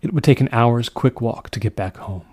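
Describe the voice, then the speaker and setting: A man reads a classic horror story as scripted narration, in a measured, deliberate storytelling manner. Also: mid-range voice, a little mellow, no room sound. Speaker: audiobook narrator.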